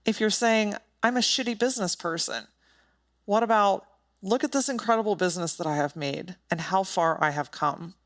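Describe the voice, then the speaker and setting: A woman's voice: mid-range voice, moderate pace. A woman hosting a solo motivational podcast, speaking in an emphatic, direct and informal way with some casual swearing. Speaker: podcast host.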